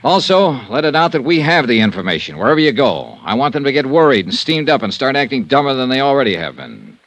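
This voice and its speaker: An actor playing a tough, wry insurance investigator in 1950s radio detective style, clipped and sardonic. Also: male voice, medium pitch, sing-song, quick pace, fairly bright tone, no echo. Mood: determined. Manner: clipped, hard-boiled, sardonic. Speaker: lead actor and narrator.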